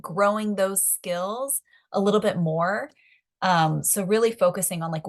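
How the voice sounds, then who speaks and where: A woman's voice: on the low side, fairly bright tone. A young adult woman answering a webinar panel question in a casual, chatty way, with frequent fillers. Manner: chatty, many fillers and likes. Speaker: advocacy organizer, webinar panelist.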